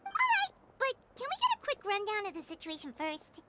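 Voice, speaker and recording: A woman voicing a small childlike fairy companion in a video game, squeaky, eager and inquisitive. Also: huge pitch swings, fairly quick, very noisy, full character voice. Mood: curious. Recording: music behind the voice.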